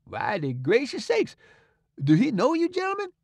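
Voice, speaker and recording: A man voicing a frightened, superstitious grown man who pleads and rambles in a high, jumpy voice. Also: wildly swooping pitch, normal speed, no echo, heavily acted. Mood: astonished.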